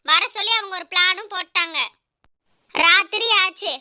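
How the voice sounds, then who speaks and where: A woman's voice: very high voice, fairly even intonation, moderate pace, sharp tone. A woman narrating a scripted children's animal story.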